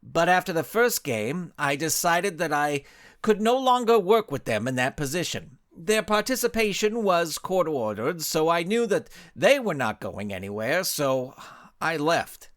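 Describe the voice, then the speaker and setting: A nervous male soccer coach in an audio story, as a man reads him. He speaks haltingly, tripping over his first words. The pitch sits high and moves around anxiously. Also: very animated, a bit bright. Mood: nervous, evasive. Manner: stammering, hesitant.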